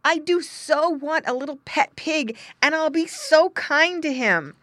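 A woman voicing an eager, excitable young boy in a children's storybook, gushing and pleading. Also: high voice, a little slow, no room sound, clean studio sound, pitched above the performer's own voice.

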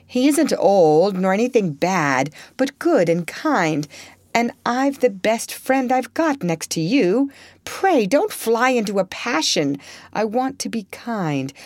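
A woman voicing a frank, warm-hearted young woman gently refusing a friend, in a storybook reading. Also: mid-range voice, dry recording, natural voice. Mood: firm. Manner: earnest, remorseful.

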